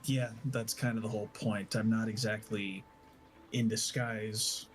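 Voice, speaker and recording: A man telling an improvised story as a player in a tabletop roleplay game, halting and correcting himself as he goes. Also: on the low side, level tone, a little hiss or hum.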